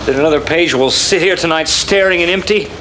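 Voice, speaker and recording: An actor playing a frustrated, bitter writer in a radio-play drama, cynical and declamatory, on a lo-fi analog recording. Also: male voice, on the high side, fairly quick, close to an everyday voice. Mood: indignant. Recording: very noisy lo-fi analog film transfer.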